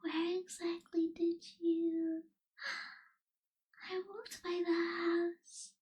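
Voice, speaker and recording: A woman acts out a gentle, sorrowful young female vampire for an ASMR roleplay. The voice is very high and soft, slipping often into a breathy whisper. The delivery is slow and very even, with wistful, formal wording. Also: exaggerated voice. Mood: wistful, nostalgic.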